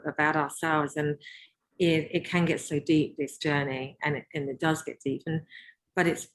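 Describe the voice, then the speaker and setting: Host: low-pitched woman, gentle and affirming, casual chat. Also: low-quality recording. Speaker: podcast host. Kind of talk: casual podcast conversation.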